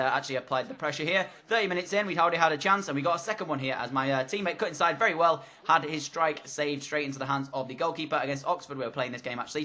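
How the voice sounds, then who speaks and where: A man talking through his football video game match as voice-over, hoarse from losing his voice, rambling in a chatty play-by-play with frequent fillers. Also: fairly high pitch, sharp tone. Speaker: gaming commentator.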